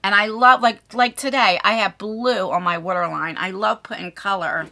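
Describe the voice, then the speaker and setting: A woman's voice: mid-range voice, fairly even intonation, normal speed, pushed and bright. A middle-aged woman chatting casually and effusively to viewers as a beauty vlogger, unboxing products. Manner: effusive, talks to viewers and to the items.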